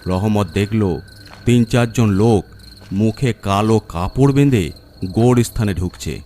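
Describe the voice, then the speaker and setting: A man's voice: on the low side, unhurried, mellow, a little hiss or hum. A man narrating a scripted horror story in a dramatic storytelling style.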